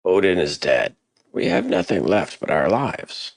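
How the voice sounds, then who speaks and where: A man playing an anxious, defeatist Norse guardsman in an audio drama, in a high-strung, pleading manner. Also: wildly swooping pitch, a little slow, gravelly, no echo, full character voice. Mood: panicked.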